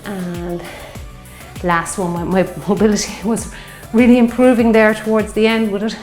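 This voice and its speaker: A woman in her fifties, a fitness instructor leading a guided strength workout, slightly hoarse from a sore throat and cheering on the class as she counts out reps. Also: medium pitch, little pitch movement, normal speed, darkish tone, echoey room, faint music behind. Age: 50s. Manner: encouraging, counting reps while exercising.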